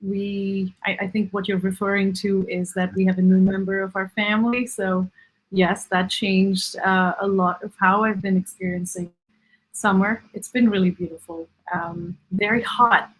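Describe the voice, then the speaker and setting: Woman from india on a video call, chatty, lots of ums, mid pitch. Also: level tone, slightly rough, low-quality recording. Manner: warm, halting, many ums and restarts. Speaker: musician guest. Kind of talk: interview answers.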